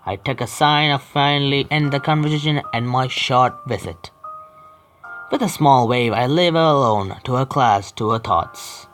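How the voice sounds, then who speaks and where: A man hosting a gaming video, reading story text aloud haltingly with stumbles and adding casual asides. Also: medium pitch, fairly bright tone. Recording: faint game music behind. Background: faint video game music.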